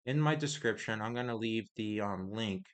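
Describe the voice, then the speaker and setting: A man casually reviewing trading cards, reading card text aloud in a halting, hesitant way, his voice getting hoarse. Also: on the low side. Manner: halting, hesitant, many pauses and restarts.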